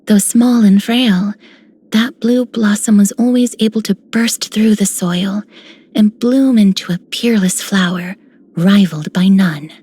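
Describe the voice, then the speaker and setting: Breathy, poetic young maiden. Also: female voice, mid-range voice, unhurried, warm tone. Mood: wistful. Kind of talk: scripted game character lines.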